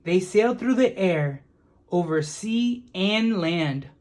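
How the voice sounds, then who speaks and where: A woman narrates a storybook for children with a lowish voice. She takes her time over every phrase, reading expressively and very deliberately. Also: lively intonation, a little mellow. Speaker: children's book reader. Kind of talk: storybook read-aloud narration.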